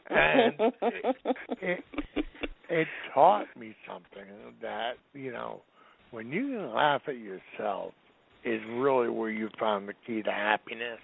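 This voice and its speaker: A man's voice: mid-range voice, expressive, a little slow, creaky, breathy edge. A man in his fifties telling a reflective personal story in casual conversation. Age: 50s. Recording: tinny phone line.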